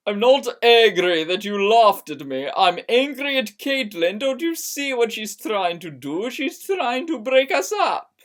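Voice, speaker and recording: A jealous, wounded teenage girlfriend as a female reader acts her out, in an amateur story reading. Her voice swings widely in pitch as she flings out each accusation, never rushing a word. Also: big pitch swings. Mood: angry, accusing. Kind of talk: dramatic fan fiction reading.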